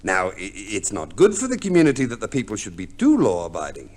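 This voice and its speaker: An actor playing a fussy, pompous divorce lawyer, formal and precise, in 1950s radio drama style. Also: male voice, fairly low pitch, huge pitch swings, quick pace, a little mellow. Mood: expansive, reasoning. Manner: formal, precise, unctuous, hesitant hums. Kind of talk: 1950s radio play acting.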